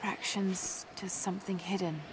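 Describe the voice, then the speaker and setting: A woman's voice: medium pitch, steady tone, normal speed, close to an everyday voice. An actress playing a resolute young woman adventurer in a video game. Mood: observant, composed. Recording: clear background game noise.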